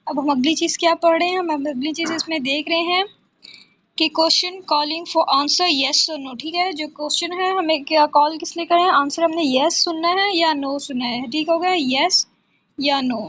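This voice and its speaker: High-pitched Pakistani woman giving a lecture. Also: a bit bright. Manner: repetitive, asks and answers own questions; switches between Urdu explanation and slowly read English. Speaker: law lecturer. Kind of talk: exam-prep lecture, reading out and explaining notes. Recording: dull, lo-fi close recording.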